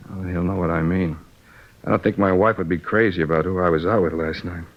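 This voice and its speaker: Man acting a groggy low-voiced hungover salesman, old radio. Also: steady tone, a little fast, natural voice. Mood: rueful. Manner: slangy, groggy, rueful. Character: hungover man, sheepish salesman and war veteran under police questioning. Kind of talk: 1950s radio crime drama acting. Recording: dull lo-fi old radio recording.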